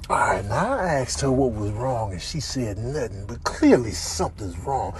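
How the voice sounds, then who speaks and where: Male comedy voiceover, exasperated and exclamatory, big pitch swings. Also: noisy background. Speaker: comedian narrating viral clips. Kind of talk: comic commentary voiceover.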